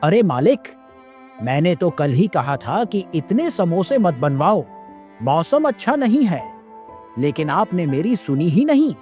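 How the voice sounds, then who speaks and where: A cautious young servant played by a man in a kids' cartoon, very high for a male voice. He swoops in pitch as he nags his master, whiny but deferential. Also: very animated, unhurried, a little mellow, faint music behind. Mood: reproachful. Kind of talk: Hindi children's moral-story cartoon dub.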